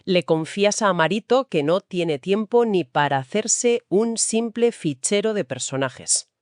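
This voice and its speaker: A woman's voice: mid-range voice, lively intonation, unhurried, darkish tone, dry recording, studio quality. A woman co-hosting a book discussion, giving emphatic, conversational literary commentary.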